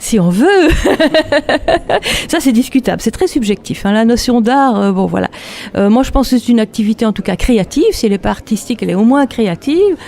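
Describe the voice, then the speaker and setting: A woman from Belgium, a textile artist chatting relaxed in an interview, laughing often between hesitant fillers. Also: on the high side, fairly quick, creaky, a little hiss or hum. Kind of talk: casual interview.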